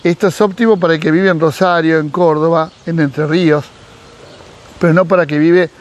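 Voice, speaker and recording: An animated middle-aged man with an Argentine Spanish accent, his voice sitting high for a man. He talks casually to a camera close by in a sunny garden, gesturing as he goes and acting out quoted dialogue. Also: brisk. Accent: Argentine Spanish, Mar del Plata. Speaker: fish breeder and YouTuber. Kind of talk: casual advice vlog. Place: outdoors in a sunny garden by a pool. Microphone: camera at close range.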